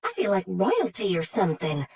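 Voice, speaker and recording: A woman voicing a bubbly, excitable Southern woman in her early thirties, with a Southern accent and a husky edge. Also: mid-range voice, normal speed, full character voice. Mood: gushing. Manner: gushing, excitable, chatty.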